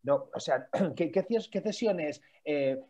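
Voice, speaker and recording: A man's voice: fairly high pitch, expressive, a little slow, mellow. A man in a debate, talking in an emphatic, lecturing way and picking apart the meaning of words. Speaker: debate participant. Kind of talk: heated debate. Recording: dull lo-fi recording.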